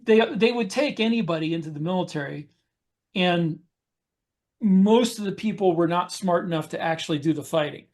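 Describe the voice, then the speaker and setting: A man co-hosting a podcast, explaining things in casual discussion with halting pauses mid-sentence. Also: sits high, a little mellow. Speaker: podcast co-host. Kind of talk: casual discussion of writing military science fiction.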